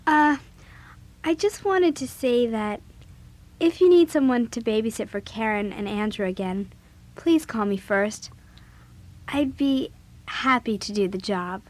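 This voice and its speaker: Earnest bossy kid babysitter in an audio drama, highish voice, really swoopy and expressive. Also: female voice, a little slow, pauses often. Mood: shy, sincere. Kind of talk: audio drama acting and first-person narration.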